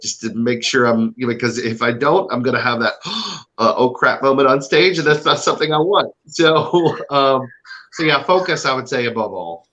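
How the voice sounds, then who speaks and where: A man speaking casually in an interview as a stage actor, earnest and halting, often repeating his phrases. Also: mid-range voice, fairly bright tone, dull audio.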